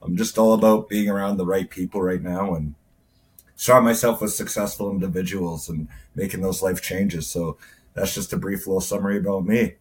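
Low, husky man chatting casually. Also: steady tone, a little fast. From Calgary, Canada. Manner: informal, hesitant, says "man" often. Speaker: roundtable guest, IT technician. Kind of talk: casual chat, self-introduction.

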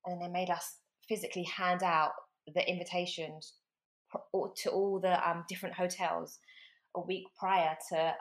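A young London woman with a London accent and a lowish voice, chatting as an interview guest. She tells stories in a lively, animated way, full of fillers and quoted thoughts, recorded over a remote call. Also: normal speed. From London, England. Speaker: football agent, guest. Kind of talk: casual storytelling interview.

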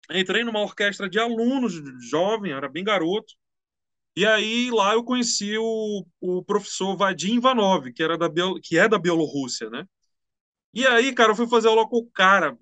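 Chatty high-voiced man. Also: no echo. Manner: relaxed, slangy, chatty. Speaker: musician guest. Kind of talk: casual interview storytelling.

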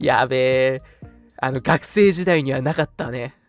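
A man's voice: mid-range voice, a little slow. A man in his early twenties co-hosting a radio show in casual chat, often chiming in with agreement. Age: early 20s. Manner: frequent agreeing backchannels. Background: background music.

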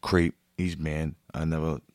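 A podcast host with a deep, low voice talks in a blunt, casual way and swears freely. His sound is dry and close, with no room echo. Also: unhurried. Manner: blunt, swears a lot, animated. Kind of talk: casual profane chat.

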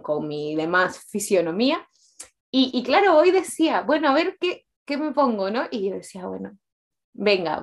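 A woman in her late twenties with a Peruvian accent, telling her personal story candidly and conversationally as an interview guest, often repeating words for emphasis. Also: on the high side. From Peru.

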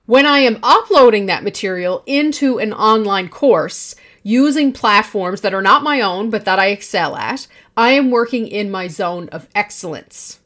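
A woman's voice: mid-range voice, lively intonation, moderate pace, few pauses, a bit bright. A woman in her forties hosting a solo podcast, talking in a confident, projecting and emphatic way. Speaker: podcast host.

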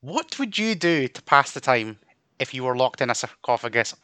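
Guy on a podcast, rambly and self-mocking, bright dry voice. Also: mid-range voice, very animated, moderate pace, smooth, no room sound. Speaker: podcast co-host. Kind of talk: casual chat.

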